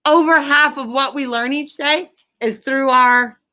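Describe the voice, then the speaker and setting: A woman with a high-pitched, bright voice teaches an elementary class. She is instructive and interactive, putting questions to the group and repeating key answers with clear emphasis. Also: steady tone, measured pace.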